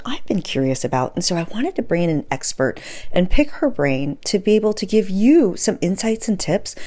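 A woman's voice: lowish voice, darkish tone. A middle-aged woman hosting a podcast in an enthusiastic, informal way, speaking close to a desk mic. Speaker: podcast host. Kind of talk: casual interview and podcast intro. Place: indoor room at home. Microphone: close desk microphone with fluffy windscreen.

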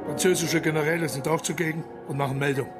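A man's voice: a little high, fairly even intonation, fast, loud music behind the voice, lightly acted. An older man playing a self-important, solemn German Kaiser in a radio play, speaking in a pompous, oratorical way. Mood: narrating a dream. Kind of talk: radio play acting, declaimed speech and anecdote.

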